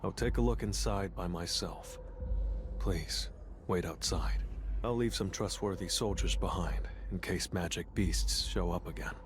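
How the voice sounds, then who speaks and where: A man's voice: low-pitched, a little slow, background noise. An actor playing a cool, decisive young male hunter in a video game, speaking in a terse, composed way. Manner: terse, composed, matter-of-fact. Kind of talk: scripted dramatic game acting. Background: music.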